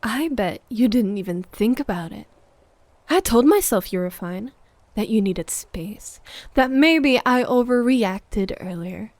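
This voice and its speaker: A woman's voice: a little high, lively intonation, a little slow, darkish tone, no room sound. A woman playing a jealous, needy, wounded girlfriend in an ASMR roleplay audio drama, sighing and often dropping toward a whisper. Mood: agitated, accusing.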